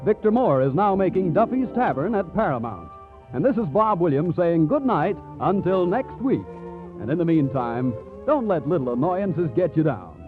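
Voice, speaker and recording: A man's voice: on the high side, big pitch swings, moderate pace. An American man reading scripted announcements and advertising as a 1940s radio announcer. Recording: tinny 1940s radio, music behind.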